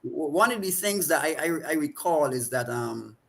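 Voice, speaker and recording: A man in his forties with a Barbadian accent, a counselor answering interview questions in a reflective, halting way. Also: a little high, lively intonation, creaky, a bit bright. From Saint Vincent and the Grenadines. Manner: reflective, halting with repeated restarts. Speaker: counselor and guest.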